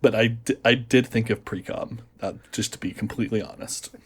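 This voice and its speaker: An American man, a guest on a podcast, chatting casually and explaining things in a measured way. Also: fairly low pitch, slightly rough. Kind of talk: casual chat.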